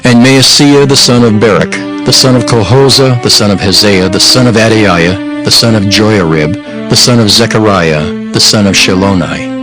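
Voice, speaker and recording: A man narrates a dramatized scripture audiobook in a solemn, measured, formal way. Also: fairly low pitch, poor audio. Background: background music.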